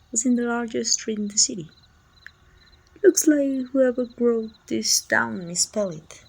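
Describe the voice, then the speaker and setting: A woman's voice: medium pitch, vocal fry, slightly rough, rough recording. A woman with a Mexican accent playing a friendly, helpful, chatty city guard from a medieval fantasy kingdom in an ASMR roleplay, speaking reassuringly and politely to the listener. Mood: puzzled, helpful.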